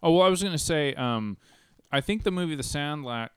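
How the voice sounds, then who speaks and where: A man's voice: on the high side, expressive, a little fast, clean tone. A man in a laid-back movie chat, swearing casually and tripping over his words. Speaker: podcast co-host.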